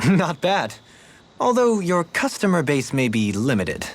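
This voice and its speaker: A man's voice: fairly high pitch, big pitch swings, measured pace. A man playing a cultured, gracious nobleman who speaks formally and eloquently, in video game dialogue. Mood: warm, grateful. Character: cultured, courtly nobleman, gracious, reflective, music-loving. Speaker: video game party member. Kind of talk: English-language video game character dialogue.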